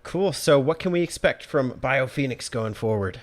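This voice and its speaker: A man's voice: mid-range voice, lively intonation, moderate pace. A man hosting a podcast, chatting about video games in a laid-back, informal way. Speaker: podcast host.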